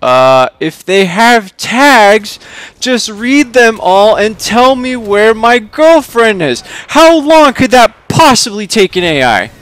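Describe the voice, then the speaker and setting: A man's voice: medium pitch, measured pace, fairly bright tone, close to an everyday voice. A man voicing an exasperated, sarcastic man in a short story, incredulous and emphatic as he argues with a bureaucracy. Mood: frustrated. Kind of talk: short story character read aloud.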